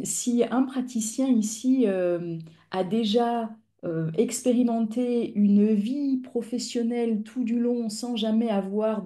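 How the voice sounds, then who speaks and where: A middle-aged woman speaks into a video-call mic as she hosts a live webinar for fellow clinicians. Her tone is mellow and relaxed. She explains things in a measured, patient way and pauses often to search for words. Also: a little high. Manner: measured, explanatory, with frequent hesitations. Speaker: physiotherapist and pain-education instructor, webinar host.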